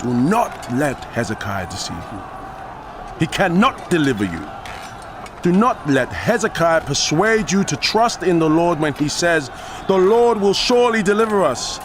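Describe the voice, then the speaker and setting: A man's voice: fairly high pitch, very animated, measured pace. A man playing an arrogant, taunting Assyrian military commander in a dramatized audio scripture, declaiming with mocking force. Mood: loud, defiant proclaiming. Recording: noisy outdoor ambience behind.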